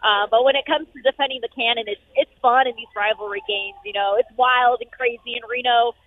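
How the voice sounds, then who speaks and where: A woman sports reporter calling in from an arena, upbeat and full of fillers, her voice going hoarse. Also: a little high, fairly even intonation, dry recording. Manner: upbeat, rapid, many fillers. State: losing her voice. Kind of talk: phone-in sports radio chat. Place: noisy arena. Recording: tinny phone line.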